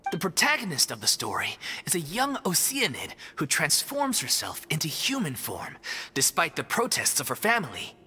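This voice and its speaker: Expressive, bright-voiced theatre player. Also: male voice, a little high, moderate pace, breathy edge, full character voice. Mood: storytelling. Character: concerned, earnest member of a theatre troupe. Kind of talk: video game voice acting.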